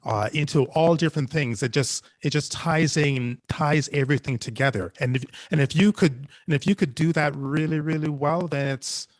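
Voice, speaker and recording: A man talking casually as a panelist, halting and often repeating his phrases. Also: a little high, no echo. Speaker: panelist, anthology podcast creator.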